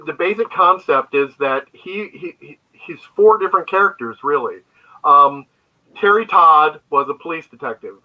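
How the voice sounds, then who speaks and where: Very bright-voiced man explaining his work. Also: fairly high pitch, rough recording. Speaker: guest, comic book creator. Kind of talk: conversational interview answers, storytelling. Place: remote online video call.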